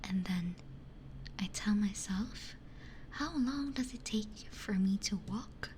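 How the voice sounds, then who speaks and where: A woman speaks in a breathy, intimate whisper right up at a binaural mic, with faint noise behind her. Her pitch sits in the middle for a woman. She chats casually and unhurriedly, musing in short, broken phrases like an ASMR streamer. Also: takes it slowly, darkish tone. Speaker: ASMR livestreamer. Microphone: close binaural ASMR microphone. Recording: close-miked with faint background noise.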